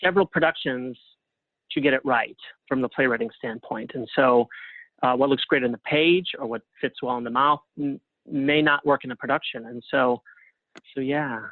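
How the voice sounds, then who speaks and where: A man giving conversational interview answers as a guest, speaking haltingly and thinking aloud as he goes. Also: medium pitch, steady tone, no echo. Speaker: guest playwright and librettist. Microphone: phone or call line. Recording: thin phone-line sound.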